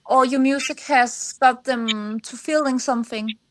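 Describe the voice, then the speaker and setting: High-voiced Danish woman, interview guest. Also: steady tone, a bit bright. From Denmark. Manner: halting, searching for words in non-native English. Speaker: singer-songwriter, podcast guest. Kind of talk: conversational interview answers.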